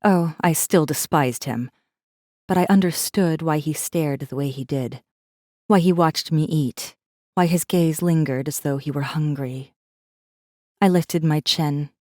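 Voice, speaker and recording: A woman's voice: sits low, mellow, no echo, clean studio sound. A woman playing a defiant, bitter captive rebel assassin who narrates her own story in an intense, brooding way. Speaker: audiobook narrator. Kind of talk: first-person dramatic narration.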